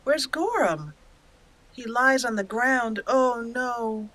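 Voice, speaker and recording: A woman hosting a gaming channel, giving expressive roleplay commentary and storytelling while talking to the game characters. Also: fairly high pitch, slow, dry recording.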